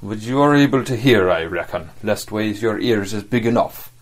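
A man's voice: lowish voice, lightly acted. A man voicing a sly, scornful, commanding sea cook for an audiobook, in speech with emphatic rustic phrasing. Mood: menacing. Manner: rustic sailor talk, emphatic, drawn-out delivery. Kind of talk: audiobook character acting.

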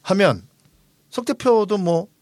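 A man's voice: high-pitched, lively intonation, takes it slowly, darkish tone, no room sound, studio quality. A man in his forties hosting a book discussion, explaining ideas conversationally and emphatically. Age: 40s. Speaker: host of a book discussion show; restaurant owner.

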